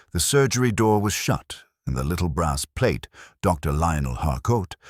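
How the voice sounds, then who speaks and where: A man narrating a scripted mystery story in a dry, wry, measured literary manner. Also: sits low, dry recording.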